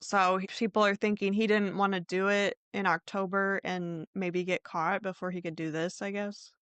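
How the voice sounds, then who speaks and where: A woman's voice: medium pitch, level tone, normal speed, fairly bright tone, clear, resonant tone, no room sound. A woman hosting a podcast, telling true crime stories in an informal, conversational way with a hedging manner. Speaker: podcast host.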